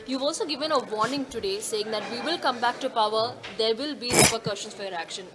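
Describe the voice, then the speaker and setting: A woman's voice: a little high, fast talker, very noisy. A woman reporter asking questions at a press meet, hesitant and restarting her sentences.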